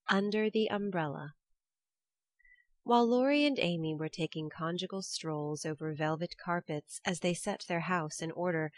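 A woman's voice: on the low side, no echo, studio quality. A woman reading scripted storybook narration as an audiobook narrator.

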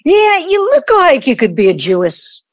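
A woman's voice: high-pitched, huge pitch swings, normal speed. A woman acting in an audio play as a defensive, irritated grown daughter, curt, guarded and sarcastic. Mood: curt, suspicious.

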